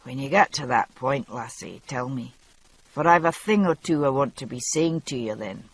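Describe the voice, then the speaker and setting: A narrator voicing a young Scottish man who speaks quietly and matter-of-factly, gruff but gentle, in a husky voice with a Scottish accent. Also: animated, moderate pace. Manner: low, quiet, matter-of-fact. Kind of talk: audiobook character voice.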